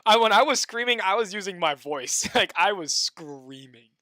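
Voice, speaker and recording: Young male game streamer, joking and excitable, slightly high voice with a sharp, bright tone. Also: moderate pace, no room sound, studio quality. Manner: excitable, joking, swings between shouting and muttering. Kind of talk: casual gameplay commentary.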